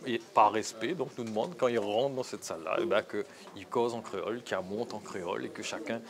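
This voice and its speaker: A man's voice: on the low side, a little fast, vocal fry. A man, making remarks at a press event. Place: indoor room at an arts school. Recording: crowd chatter behind the voice.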